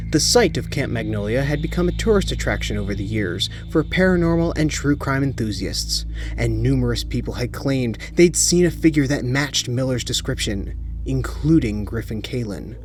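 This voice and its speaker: A man reads a scripted spooky story in a dramatic, deliberate storytelling manner. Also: mid-range voice. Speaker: narrator of horror stories. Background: music bed under the voice.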